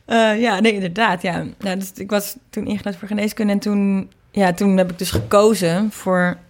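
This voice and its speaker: A woman's voice: mid-range voice, steady tone, normal speed, a little hiss or hum. Young woman, Netherlands Dutch accent, mid-range voice. Informal interview guest, chatty, breaks off and restarts thoughts. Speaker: interview guest, singer and singing teacher. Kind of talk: casual conversational interview.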